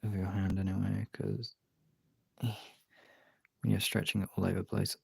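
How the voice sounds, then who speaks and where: Casual British streamer: mellow, creaky delivery, sweary, thinking out loud to chat. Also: low voice, level tone, a little slow, dry recording, clean studio sound. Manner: informal, sweary, thinking aloud. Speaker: live streamer drawing and chatting with viewers.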